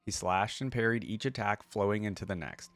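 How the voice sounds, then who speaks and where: A man with a fairly low voice hosts a reaction video. He moves between reading story text aloud and casual, off-the-cuff commentary. The sound is dry and close, with little room echo. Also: a little fast, a bit bright.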